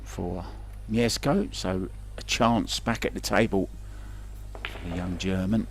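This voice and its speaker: A man's voice: low-pitched, fairly even intonation, slow, creaky. A man commentating pool remotely, chatty and joking, asking his co-commentator questions. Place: remote booth.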